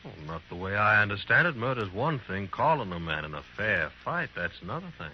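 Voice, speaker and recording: An actor playing a brash, vengeful troublemaker in a Western radio drama, talking back with cocky challenge. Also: male voice, lowish voice, very animated, a little fast, slight background noise. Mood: defiant. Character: dangerous, vengeful, cocky male stranger in Western town. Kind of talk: 1950s Western radio acting. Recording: muffled old radio recording, music bleed.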